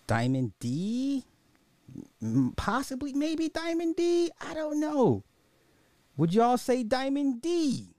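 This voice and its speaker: A man's voice: very high-pitched, huge pitch swings, takes it slowly, darkish tone, dry recording. A man hosting a casual, opinionated commentary podcast in slangy, informal speech, thinking aloud and often repeating his own phrases. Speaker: podcast host.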